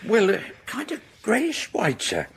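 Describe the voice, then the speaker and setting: An actor playing a polite, apologetic English manservant in a radio horror drama, earnest and hesitant. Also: male voice, high-pitched, wildly swooping pitch, unhurried, fairly bright tone, full character voice. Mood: earnest, puzzled. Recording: faintly noisy 1980s radio drama broadcast.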